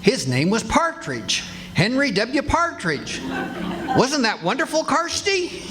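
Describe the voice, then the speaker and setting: A man's voice: extremely high pitch, very animated, takes it slowly, pushed and bright, full character voice. A man playing a blustery, boastful, folksy husband who tells tall tales in an old-time radio comedy. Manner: folksy, grumbling, exclamatory. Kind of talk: 1940s radio comedy acting before a live audience. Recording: noisy live stage recording with clicks.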